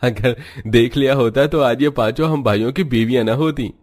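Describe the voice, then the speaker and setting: A man's voice: mid-range voice, moderate pace, a little mellow, natural voice. A man voicing a grown son in a moral story who proudly defends his wife, earnest and reproachful. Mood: reproachful pride.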